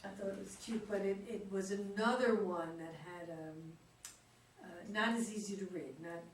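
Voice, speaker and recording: A woman's voice: mid-range voice, moderate pace. A woman talking casually as she gives feedback in a group discussion. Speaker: play reader giving feedback. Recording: echoey room, distant mic, noisy.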